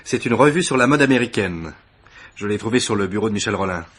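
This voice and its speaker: A man's voice: fairly low pitch, expressive, quick pace, background noise, close to an everyday voice. A man acting an eager, deferential police assistant in a French radio mystery play, explaining his deductions with proud, methodical emphasis. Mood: keen, insistent.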